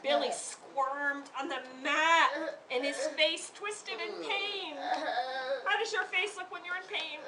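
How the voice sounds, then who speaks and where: A woman reading her story aloud to a live audience in a theatrical, interactive way, with drawn-out emphasis and questions to her listeners. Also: very high voice, fairly even intonation, over a noisy crowd. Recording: distant mic with audience noise.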